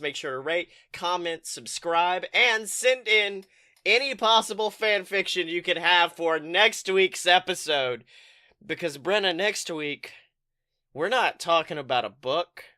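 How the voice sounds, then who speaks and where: Bright, clear-voiced man, animated podcast host. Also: sits high, unhurried. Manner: animated, joking, profane. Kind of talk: casual comedic podcast banter.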